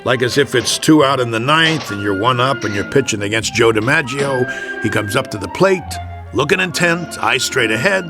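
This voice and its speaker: An actor playing a gruff, bossy, streetwise American baseball manager in a radio drama, blunt and slangy, with music behind him. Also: male voice, mid-range voice, lively intonation, normal speed, close to an everyday voice. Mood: coaxing pep talk.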